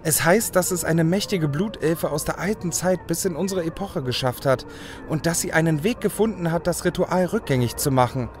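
A man's voice: a little high, normal speed, natural voice. An actor playing a cynical, proud young male hacker turning elf in an audio drama, brooding and self-assured. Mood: earnest, visionary. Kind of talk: German audio drama acting.

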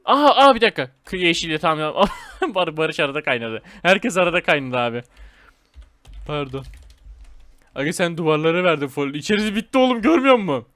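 A man hosting a gaming video with casual, excited commentary, speaking in slangy, repetitive phrases and addressing viewers as friends. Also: fairly high pitch, fast talker, no echo.

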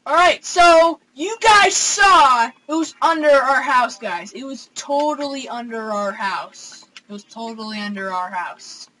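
Young gamer host, high lively voice, bright tone, excitable and halting; home-room recording. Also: male voice, rough recording. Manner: excitable, gasping, hesitant fillers. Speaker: gaming channel host. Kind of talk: excited let's play commentary. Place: home room with console screen.

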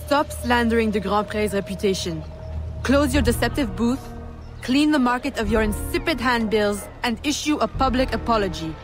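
A woman's voice: on the high side, normal speed, music in the background, natural voice. An actress playing a commanding young female vigilante of the colonial era in a video game, composed, formal and firm. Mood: stern. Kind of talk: scripted video game acting.

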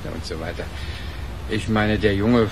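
An actor playing a chatty but guarded suburban neighbour in a radio crime drama, speaking in a halting, homely way. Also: male voice, fairly low pitch, lightly acted. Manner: halting, homely, adds tag questions. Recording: outdoor ambience, traffic noise behind.

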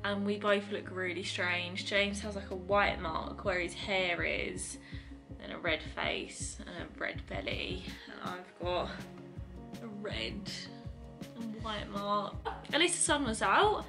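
A woman's voice: medium pitch, animated, slow pace, music in the background. A woman in her twenties chatting informally about her holiday for a vlog. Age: 20s. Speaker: vlogger. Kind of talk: casual holiday vlog chat.

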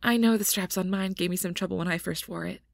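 A woman's voice: on the low side, fairly even intonation, fast, vocal fry, no echo, clean studio sound. A woman voicing a caring, anxious, responsible young woman who speaks carefully and breathily in an audio roleplay. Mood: concerned.

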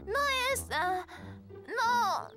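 A woman voicing a kind, friendly little girl in a cartoon, speaking in an earnest, expressive way. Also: very high-pitched, very slow, over music, exaggerated voice. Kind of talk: animated cartoon dub acting.

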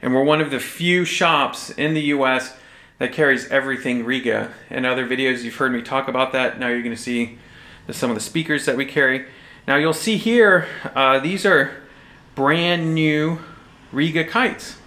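An American man in his forties from Texas giving a casual, halting but enthusiastic product overview to camera. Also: mid-range voice, bright, forceful tone. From Texas, United States. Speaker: audio shop presenter. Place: small indoor room, standing behind display table. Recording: roomy echo, faint music.

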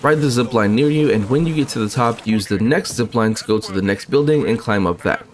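A man narrating a video game walkthrough in a brisk, matter-of-fact, instructive way. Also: medium pitch. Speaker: gaming channel host.

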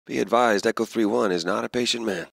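Casting: laconic American special-ops Marine, low and gravelly, wry and profane, dry game recording. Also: male voice, quick pace, dry recording. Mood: wry, casual. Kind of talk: video game battle chatter.

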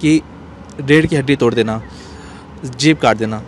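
A man in his thirties giving a serious, explanatory interview answer in short, measured phrases. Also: mid-range voice. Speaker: interview guest, cartoonist. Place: outdoors in an open courtyard. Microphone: handheld small microphone. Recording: faint outdoor street background noise.

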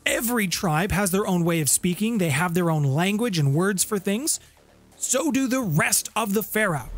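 A middle-aged man hosting a scripted explainer in a theatrical, emphatic way with dramatic pauses, close on a studio mic. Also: sits high. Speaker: host of a tabletop gaming channel. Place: small home room with guitars on the walls. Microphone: close studio condenser mic with pop filter. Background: background music.

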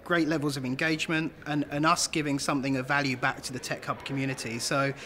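Fast-talking man in an interview. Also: medium pitch, little pitch movement, bright, forceful tone. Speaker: developer programme representative. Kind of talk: informal on-camera interview. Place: large open-plan office, indoors.

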